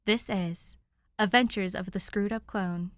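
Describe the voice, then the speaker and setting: A young woman narrates with an informal, expressive storytelling delivery. Her voice sits at an ordinary pitch for a woman. The sound is dry, with very little room in it. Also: a little slow, dry recording. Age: young adult. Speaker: podfic reader. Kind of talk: informal intro and expressive fan fiction narration.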